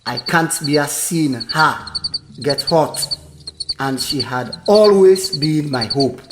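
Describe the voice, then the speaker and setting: A man's voice: a little high, takes it slowly, noisy background. A man with a Sierra Leonean accent playing a dignified chief and devoted father in an amateur audio drama, speaking solemnly and formally. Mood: earnest, tender. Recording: echoey room, faint music behind.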